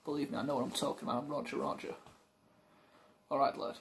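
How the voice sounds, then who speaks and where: A man's voice: medium pitch, level tone, fast talker, a little hiss or hum, lightly acted. A young man playing a cocky, self-assured dating guru in a comedy sketch, talking in a chavvy, laddish way. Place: small living room.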